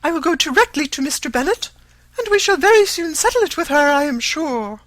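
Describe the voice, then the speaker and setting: A narrator voicing a fussy, nervous middle-aged mother in an audiobook, shrill, exclaiming and insistent. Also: lively intonation, brisk, a bit bright, dry recording. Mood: alarmed, hurried. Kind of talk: audiobook character voice.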